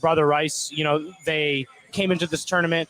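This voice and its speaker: A man's voice: fairly high pitch, flat delivery, moderate pace, fairly bright tone, rough recording. A man giving informal live color commentary on a basketball game.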